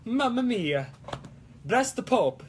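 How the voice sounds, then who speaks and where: A man doing a high, excitable cartoon Italian guy. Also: slow, slight background noise, heavily acted. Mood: playful, explaining. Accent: mock Italian. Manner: exclamatory, playful, sing-song broken English. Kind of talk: live-streamed prop-making vlog in a put-on accent.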